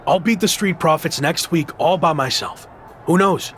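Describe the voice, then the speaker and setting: An actor playing a cocky, ambitious young wrestler in a video game, speaking in an assertive, argumentative way. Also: male voice, on the high side, moderate pace, fairly bright tone, a little hiss or hum, lightly acted. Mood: pleading, determined. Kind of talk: scripted video game cutscene acting.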